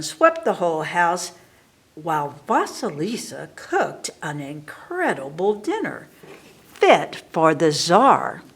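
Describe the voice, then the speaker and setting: A woman storyteller reading a picture book aloud, deliberate and stopping to correct her slips. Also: fairly low pitch, fairly bright tone. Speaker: library storyteller.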